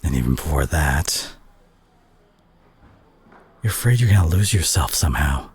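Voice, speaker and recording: A man acting a concerned superhero who confronts a reckless friend in a dramatic audio roleplay, speaking earnestly and haltingly with a husky, breathy voice. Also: very deep voice, lively intonation, a little mellow, exaggerated voice. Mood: somber, pained.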